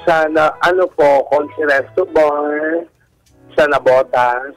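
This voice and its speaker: A middle-aged man talks about himself as a guest on a radio show. He is polite and drops English phrases into his sentences. Soft music runs behind his voice. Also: on the high side, slow pace, creaky, poor audio. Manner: polite, uses respectful markers, mixes in English. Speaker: guest caller, bar comedian. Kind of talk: personal story told in a radio interview. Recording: music bed under radio talk.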